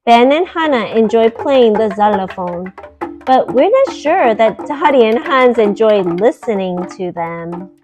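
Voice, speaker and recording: A woman with a fairly high voice reads a picture book aloud for children. Her delivery is deliberate and teacherly, setting out each word and its meaning clearly for young listeners. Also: animated, music in the background. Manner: deliberate, teacherly, explaining words.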